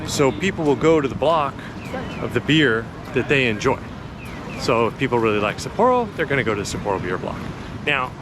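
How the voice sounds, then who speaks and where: A man giving a casual walking tour as a vlogger, chatty and laid-back but enthusiastic, talking into a handheld action camera outdoors. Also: mid-range voice. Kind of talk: casual chatty walk-and-talk narration. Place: outdoors in a busy city park. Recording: outdoor action camera with clear city noise.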